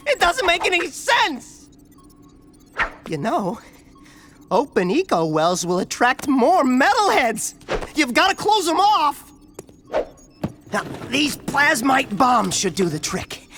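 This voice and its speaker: A man's voice: very high-pitched, a bit bright, exaggerated voice. A man playing a paranoid, anxious sci-fi technician in a video game, stammering nervously as he talks. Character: jittery, paranoid male technician in a sci-fi city. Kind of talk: animated video game voice acting.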